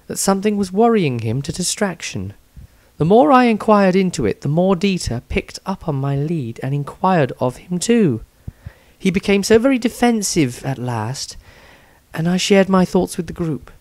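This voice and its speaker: A pious, compassionate adventuress reading her fantasy diary, played by a man. The voice is fairly high, close and dry, measured and proper in an earnest way. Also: darkish tone, no echo.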